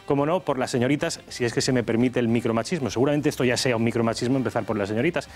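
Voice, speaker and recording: A man from hosting a TV panel debate show. He gives a measured editorial opening, then jokes around with his guests as he introduces them. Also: medium pitch, darkish tone. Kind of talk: television panel talk show. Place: TV studio.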